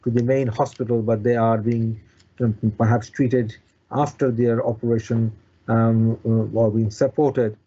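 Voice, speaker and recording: Mellow-voiced man explaining, halting, dull audio. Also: medium pitch, little pitch movement. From Bangladesh. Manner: halting, repeats words and restarts often. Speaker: consultant bariatric surgeon, interview guest. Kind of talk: explanatory interview talk.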